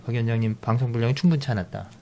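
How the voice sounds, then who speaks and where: A middle-aged man hosting a casual podcast. He talks in a halting way with many fillers and restarts, reading out listener comments and news. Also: mid-range voice, mellow. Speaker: podcast host.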